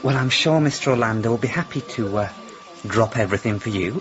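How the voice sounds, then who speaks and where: An actress playing a snide, unhelpful hair salon receptionist in a radio comedy drama, offhand and insinuating. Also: female voice, extremely low pitch, quick pace, dull audio.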